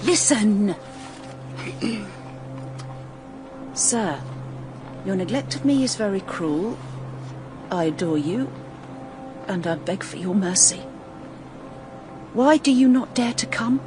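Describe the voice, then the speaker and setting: A woman's voice: medium pitch, expressive, slow, long pauses, music in the background. A woman playing a sly, insistent serving maid who coaxes and insinuates in a period radio drama. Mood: insistent, pleading. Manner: coaxing, knowing, insinuating.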